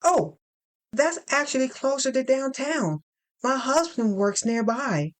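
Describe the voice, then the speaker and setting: Woman acting high-pitched, chirpy female office recruiter. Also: slow pace. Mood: cheerful, chatty. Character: Lynn, bubbly upbeat female HR recruiter on the phone. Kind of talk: audio fiction acting.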